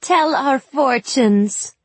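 A woman's voice: drawn-out words, darkish tone, dry recording. A woman reading an audiobook voices a tipsy, pushy young girl who whines and demands things. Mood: pleading. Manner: high, whining.

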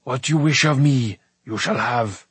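Husky, bellowing warrior king. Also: medium pitch, a bit bright, no room sound. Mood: hearty, grateful. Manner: blustering, drawn-out delivery. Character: huge, booming, hearty old warrior king.

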